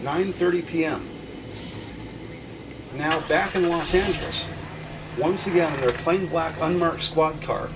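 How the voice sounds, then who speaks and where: A man's voice: fairly high pitch, unhurried, pauses often, noisy background. A man reading scripted descriptive narration for an audio drama. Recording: music under the voice.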